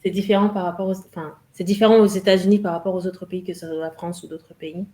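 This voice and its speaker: A woman interpreting a guest's answers live, halting and correcting herself as she goes. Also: lowish voice, steady tone, dark tone. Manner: halting, self-correcting with many euhs.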